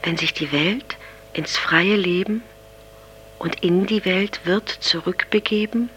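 A dreamy, troubled soul recites verse very slowly in a low voice. The halting phrases come from an old tape with a faint hum. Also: female voice, fairly bright tone, poor audio. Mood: dreamy, solemn. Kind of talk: slow poetry recitation in an audio drama.

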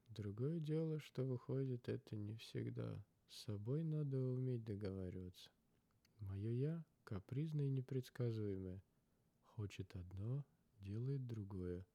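A man voicing a reflective, philosophical man in a story, speaking in a measured, contemplative way. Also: medium pitch, mellow, dry recording. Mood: calmly philosophical. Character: reflective, philosophical man musing on inner worlds.

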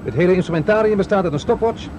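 Exacting machine-builder, a male actor's part, raised pitch, methodical explaining, radio play with noisy outdoor backdrop. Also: rapid-fire, close to an everyday voice. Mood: precise, explanatory. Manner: precise, technical explaining. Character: precise, proud male inventor showing his machine. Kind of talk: 1970s Dutch radio drama acting. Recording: old radio play, loud outdoor noise effects.

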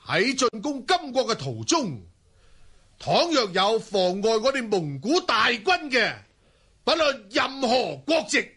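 An actor playing a proud middle-aged Mongol ruler in a Cantonese radio drama, stately and emphatic. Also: male voice, very high voice, very animated, slow pace, pushed and bright, breathy edge, full character voice. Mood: solemn, commanding.

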